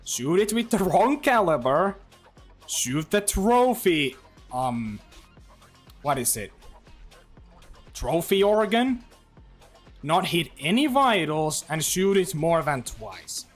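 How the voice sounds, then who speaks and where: A man chatting to his viewers while he plays, loose and rambling, full of "like" and "you know." His voice sits a little high and swings widely in pitch. Faint music plays behind him. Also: very animated, slow pace, long pauses. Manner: rambling, chatty, lots of fillers and restarts. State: throat getting dry. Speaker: live streamer. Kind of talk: casual livestream chat while gaming.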